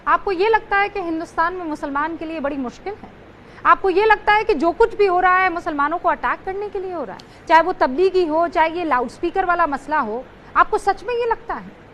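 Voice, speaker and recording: A woman anchoring a heated TV news debate, cutting in and pressing guests with quick questions. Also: very high voice, lively intonation, a bit bright, a little hiss or hum.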